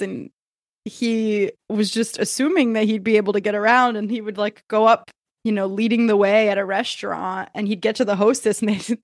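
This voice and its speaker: A woman's voice: mid-range voice, no room sound, studio quality. A woman co-hosting a podcast, telling family stories with a lot of laughter. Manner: animated, laughing storyteller. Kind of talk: casual podcast chat.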